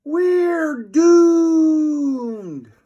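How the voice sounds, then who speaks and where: Man acting shrill, excitable cartoon schoolboy. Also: drawn-out words, dull audio, heavily acted. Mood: panicked. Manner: exclaiming, wailing.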